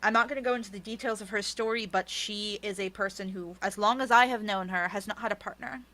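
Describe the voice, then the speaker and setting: A woman's voice: mid-range voice, quick pace, sharp tone. A woman being interviewed as an author, talking candidly and emphatically in a conversational, storytelling way.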